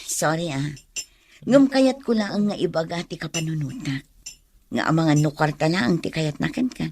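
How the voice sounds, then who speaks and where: A woman's voice: sits low, lively intonation, moderate pace, a little mellow, a little hiss or hum. A woman with an Ilocano accent acting a frank, doubting co-worker in a radio drama. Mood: probing, skeptical.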